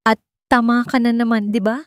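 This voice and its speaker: A Filipino woman voicing a warm, understanding and playfully loving heroine in a narrated romance story. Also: a little high, flat delivery, moderate pace, no echo, clean studio sound, pitched above the performer's own voice, close to an everyday voice. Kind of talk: acted dialogue in story narration.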